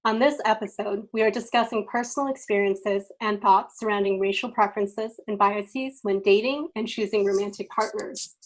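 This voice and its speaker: A woman in her thirties from the United States, hosting a podcast discussion over a video call from her bedroom in a clear, measured way. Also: mid-range voice, steady tone, rough recording. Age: 30s. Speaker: podcast host. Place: bedroom at home, indoors. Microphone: video call from home.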